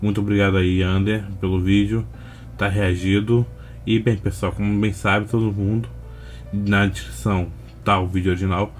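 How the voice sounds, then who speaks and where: A young man with a Brazilian Portuguese accent giving casual, unscripted reaction commentary, rambling and slangy with frequent pauses. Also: lowish voice, little pitch movement, faint music behind. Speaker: reaction video host.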